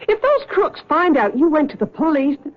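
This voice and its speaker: An actress playing a worried, fearful wife in a 1970s radio drama, talking anxiously and pleading. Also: female voice, sits high, very animated, normal speed. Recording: thin phone-like old radio broadcast.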